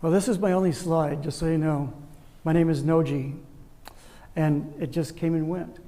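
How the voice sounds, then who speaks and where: A soft-spoken man presenting on a lapel mic at a club meeting, joking about himself in an easygoing way. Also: on the high side, steady tone, moderate pace. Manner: soft-spoken, self-deprecating joker. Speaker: guest presenter. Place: meeting room. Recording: reverberant room with faint background noise.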